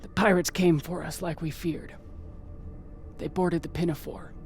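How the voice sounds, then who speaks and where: A hardened, grieving space pilot speaks in a notably high voice. The delivery is terse and wry, salted with profanity, as in a dramatic sci-fi game, with music underneath. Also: male voice, flat delivery, a little slow, creaky, a little mellow. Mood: regretful. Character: hardened, haunted, foul-mouthed space pilot grieving a husband. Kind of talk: dramatic video game dialogue.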